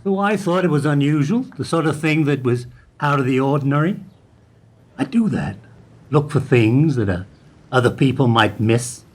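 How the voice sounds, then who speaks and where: Male actor doing radio play bank worker guy, notices everything, pointed and measured, lively pitch, bit husky. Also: close to an everyday voice. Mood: knowing, insinuating. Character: Frank, observant low-ranking bank employee, quietly probing.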